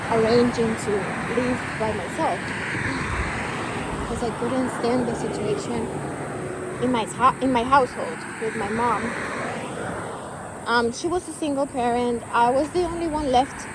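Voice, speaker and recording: Brief: young woman from Cuba, unhurried candid talk, loud traffic behind. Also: fairly high pitch. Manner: candid, rambling, many fillers and restarts. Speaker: vlogger. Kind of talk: personal talk to camera.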